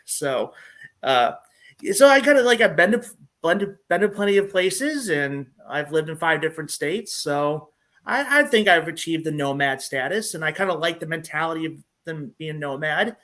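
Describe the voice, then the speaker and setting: A man's voice: a little high, fairly bright tone. A man in his thirties with a Southern US country accent, a guest vlogger who talks in a friendly, rambling way and restarts his sentences a lot. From Illinois. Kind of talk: casual interview talk.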